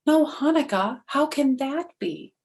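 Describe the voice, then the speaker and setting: A brave wandering trickster hero from a storybook, voiced by a woman reading aloud. He speaks up boldly and emphatically, pitched above her usual talk and full of confidence. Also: lively intonation, measured pace, darkish tone. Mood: puzzled, surprised.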